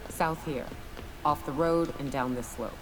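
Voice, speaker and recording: A woman's voice: low voice, measured pace, background noise. A woman playing a plain-spoken travelling companion in a sci-fi video game. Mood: matter-of-fact.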